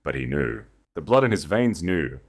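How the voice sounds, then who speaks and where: A man narrating a dramatic historical romance audio story in a measured, scripted delivery. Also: very deep voice, sing-song, vocal fry.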